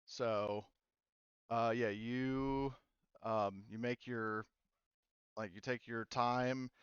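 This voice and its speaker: Smooth-voiced rambling male game master. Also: medium pitch, fairly even intonation, drawn-out words, dry recording, lo-fi sound. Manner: rambling, many fillers and restarts. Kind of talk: narrating a tabletop game.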